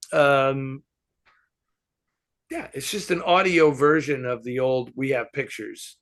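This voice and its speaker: Male host, creaky mid-pitched voice, conversational and animated, halting as he works through film talk. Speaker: host answering fan questions. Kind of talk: casual film discussion.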